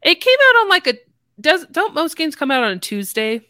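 A woman's voice: high-pitched, big pitch swings, a little fast, bright, forceful tone, dry recording, studio quality. A woman hosting a casual podcast, chatting informally with the other speakers. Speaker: podcast host.

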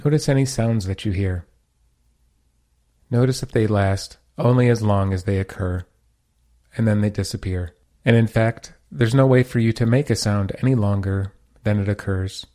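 A man leading a guided meditation as a teacher, giving calm, measured, gentle instructions. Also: fairly low pitch.

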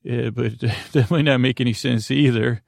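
Male bedtime podcast host, mid-pitched and creaky, meandering and self-interrupting delivery, recorded dry. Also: fairly even intonation, normal speed, darkish tone, no echo. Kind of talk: rambling bedtime podcast monologue.

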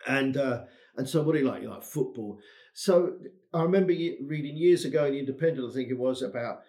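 Rambling Englishman with a mid pitch. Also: fast, a little mellow, reverberant. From England. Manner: rambling, self-deprecating, stammers on restarts. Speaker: guest, English teacher. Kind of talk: casual interview chat.

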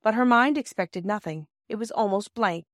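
A woman reading a scripted storybook as an audiobook narrator, in a measured, expressive storytelling manner. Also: mid-range voice, dry recording, studio quality.

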